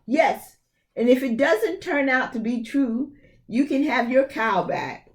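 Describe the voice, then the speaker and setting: Woman doing a slow, sly old storybook man. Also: high-pitched, animated, voice pushed up. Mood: coaxing. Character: sly, persuasive old man trading in a fairy tale.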